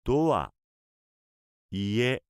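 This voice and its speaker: A man speaking for a language course, enunciating words and short example sentences clearly for learners. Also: medium pitch, very animated, very slow, faint music behind. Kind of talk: clear word-by-word pronunciation drill.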